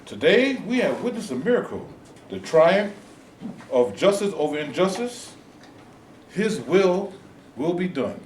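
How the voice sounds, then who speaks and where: A man's voice: high voice, sing-song, a little slow, long pauses, a little mellow, slight background noise. A man playing a stern, righteous reverend leading a protest, speaking with a solemn, preacherly manner. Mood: exalted. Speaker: actor in a staged reading. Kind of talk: stage play reading. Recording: roomy hall from a distant mic.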